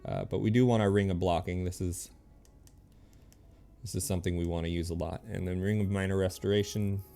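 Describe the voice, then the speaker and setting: A man streaming a video game, thinking aloud in easygoing casual chat and reading game text out loud. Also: deep voice, fairly even intonation, a little fast, creaky, faint music behind.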